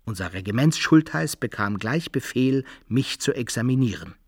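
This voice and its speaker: An actor playing an adventurer who narrates his own wartime misfortunes in a literary radio play, with a husky voice. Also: medium pitch, animated, moderate pace, no room sound, studio quality. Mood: narrating, wry. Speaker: first-person narrator.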